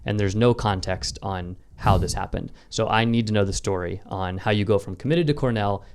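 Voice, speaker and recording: A man's voice: on the low side, brisk, darkish tone, a little hiss or hum. A man hosting a casual interview, asking questions in a jokey way with many ums. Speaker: podcast host.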